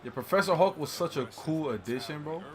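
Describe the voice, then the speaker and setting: A man's voice: on the high side, lively intonation, fairly quick, a crowd in the background. A young man in a casual movie chat, speaking bluntly and with plenty of buddy-like slang. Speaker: co-host of a movie review chat.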